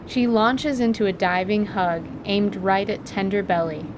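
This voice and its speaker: A woman's voice: medium pitch, steady tone, unhurried, background noise. A woman giving scripted, dramatic narration of a fantasy story as its narrator.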